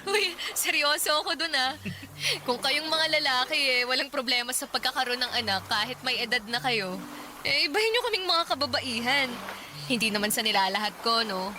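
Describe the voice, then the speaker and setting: A woman's voice: sits high, lively intonation, a little fast, noisy background. A woman playing a hopeful, chatty waitress from the provinces in a conversational radio drama. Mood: playful, earnest. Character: hopeful, playful provincial waitress, in her thirties, wanting family. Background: music.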